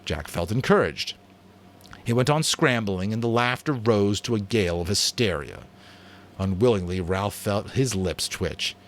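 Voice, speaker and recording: A man narrating a novel as a dramatic audiobook reading, expressive and theatrical. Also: fairly low pitch, a little slow.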